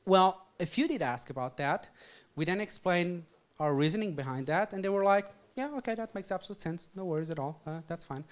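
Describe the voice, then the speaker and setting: Man pitching startups on stage. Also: smooth. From Portugal. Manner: energetic, frequent "uh" and "um" fillers. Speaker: startup co-founder giving a talk. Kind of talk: conference presentation and Q&A. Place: event hall with audience.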